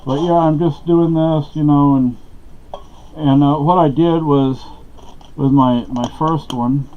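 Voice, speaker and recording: A man's voice: on the high side, fairly even intonation, slow pace, a little hiss or hum. A middle-aged man from Texas talking casually to the camera at home, meandering with many fillers and restarts. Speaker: vlogger. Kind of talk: rambling casual talk to camera. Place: small dark room at home. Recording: dull lo-fi camera audio.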